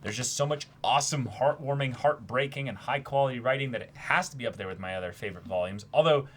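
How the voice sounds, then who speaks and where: A man co-hosting a casual livestream, reading out viewer messages and thinking aloud in an animated, sometimes halting way. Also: medium pitch. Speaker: livestream co-host.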